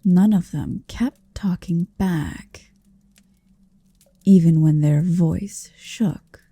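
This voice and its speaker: A woman's voice: lowish voice, very slow, warm tone, slightly rough, no room sound, exaggerated voice. A woman playing a huge, possessive, motherly female dragon in an ASMR roleplay, tenderly domineering and teasing, often whispering close to the mic. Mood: admiring, possessive. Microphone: close-up microphone, dry.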